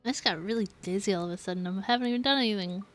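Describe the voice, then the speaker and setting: A woman's voice: mid-range voice, rapid-fire, faint music behind. A woman livestreaming a game and reacting casually, giggly and gushing. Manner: giggly, gushing over cute things. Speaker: game streamer.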